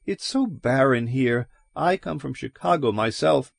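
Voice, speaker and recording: A man's voice: medium pitch, lively intonation, measured pace, creaky, no room sound, pitched above the performer's own voice. A man voicing a friendly, cautious boarding-house landlady who talks in a chatty, neighborly way.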